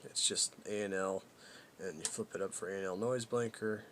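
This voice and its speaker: A man giving a casual, unscripted product walkthrough as a hobbyist, haltingly thinking aloud as he goes. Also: low-pitched, fairly even intonation, background noise. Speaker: hobbyist presenter demonstrating a vintage CB radio.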